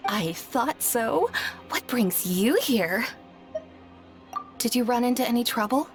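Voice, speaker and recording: A woman's voice: on the high side, lively intonation, slow pace, music in the background. A woman playing a friendly, doting young female shopkeeper in a video game, polite in manner. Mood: surprised, friendly.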